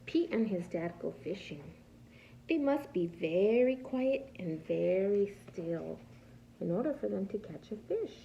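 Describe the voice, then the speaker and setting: A woman reads a picture book to small children like a patient preschool teacher, stopping to ask them simple questions. Her delivery is slow, with a creaky edge to the voice. The recording is a slightly muffled, lo-fi home one. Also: mid-range voice, animated, mellow, a little hiss or hum. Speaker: preschool teacher reading aloud. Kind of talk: picture-book read-aloud for young children.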